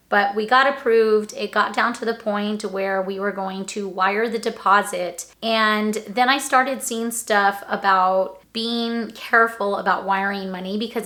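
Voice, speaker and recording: A woman's voice: medium pitch, a bit bright. A woman in her forties talking casually to camera as a vlogger, still recovering her voice after being sick, chatty and explaining at length.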